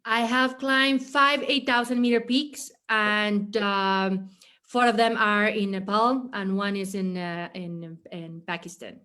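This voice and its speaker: A woman's voice: medium pitch, fairly even intonation, slow, pushed and bright, smooth. A woman from Latin America, a mountaineer, answering interview questions in English with many fillers and restarts. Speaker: mountaineer guest.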